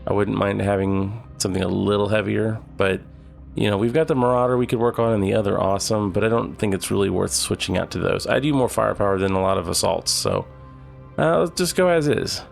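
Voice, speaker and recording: Nasal man with a gravelly voice. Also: lowish voice. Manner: relaxed, thinking aloud. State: nasal from a cold. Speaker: gaming channel host. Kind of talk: casual gameplay commentary.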